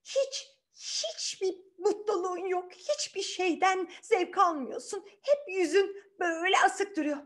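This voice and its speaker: A woman's voice: very high-pitched, unhurried, hardly any pauses, voice pushed up. A woman voicing a bossy, scolding stepmother in a children's fairy tale, nagging and barking orders.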